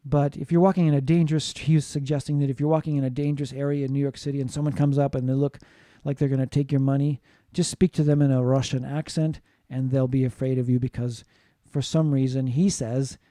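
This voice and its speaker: Dark-voiced man teaching a class. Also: mid-range voice, flat delivery, quick pace, few pauses, dry recording, studio quality. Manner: explanatory, often checks in with "right?". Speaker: English teacher. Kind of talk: classroom lecture.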